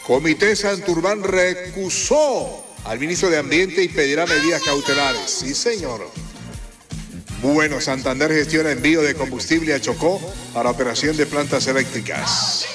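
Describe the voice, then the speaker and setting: A Colombian man presenting morning radio headlines in a colloquial, theatrical way, drawing out phrases and adding rhetorical asides. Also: fairly high pitch. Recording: loud music bed under voice. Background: music.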